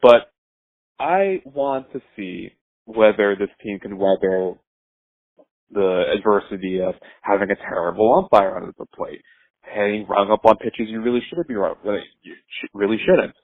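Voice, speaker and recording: A man leaving a voicemail as a caller, giving casual sports opinions in a halting, measured way. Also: lowish voice, no echo. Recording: tinny phone line voicemail.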